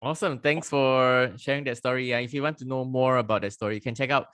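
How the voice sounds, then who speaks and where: A man's voice: medium pitch, fairly even intonation, quick pace, fairly bright tone, clear, resonant tone, no room sound. A man hosting a podcast interview, chatty and enthusiastic, laughing often between questions. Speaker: podcast host. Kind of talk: casual interview chat.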